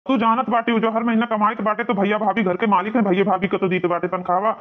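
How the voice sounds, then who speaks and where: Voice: mid-range voice, little pitch movement, fast talker, lightly acted. A voice actor playing a hard-up, plain-spoken working husband in a cartoon family comedy, matter-of-fact, with an Awadhi accent. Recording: dull, lo-fi muffled sound.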